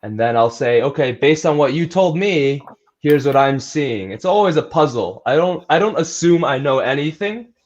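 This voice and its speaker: A man's voice: a little high, lively intonation, normal speed. Man chatting casually, slightly dull sound. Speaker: athletic trainer and strength coach being interviewed. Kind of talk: casual interview chat.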